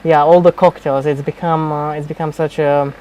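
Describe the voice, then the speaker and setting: A young man hosting a podcast, asking questions in a relaxed, hesitant way with many fillers. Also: on the high side, normal speed, slight background noise, low-quality recording. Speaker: podcast host. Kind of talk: casual interview chat.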